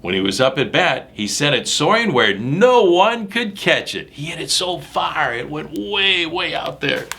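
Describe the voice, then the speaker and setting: Male picture-book reader for kids: bright, punchy voice, highly animated intonation, emphatic and direct to the listener. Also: unhurried, creaky, few pauses, slight background noise. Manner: dramatic, emphatic, addressing young listeners directly. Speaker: storyteller reading a picture book aloud to children. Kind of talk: animated read-aloud with asides about the pictures.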